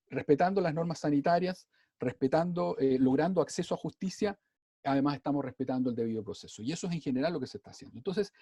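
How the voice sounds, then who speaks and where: A man with a Chilean accent, speaking quickly on a seminar panel, with many "eh" fillers. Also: mid-range voice, breathy edge, dry recording. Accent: Chilean Spanish. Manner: rapid and animated, with many "eh" fillers. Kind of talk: fast-paced seminar talk.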